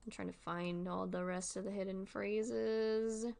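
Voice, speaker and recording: A woman's voice: medium pitch, steady tone, a little slow, gravelly, a little mellow. A woman hosting a livestream with casual, informal commentary, rambling and thinking aloud as she goes. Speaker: livestream host.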